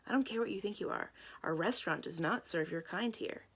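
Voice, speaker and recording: A woman voicing a hostile older waitress in a children's novel, speaking in a hushed, cutting way. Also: on the low side, animated, fairly quick, slightly rough, exaggerated voice. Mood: cold contempt.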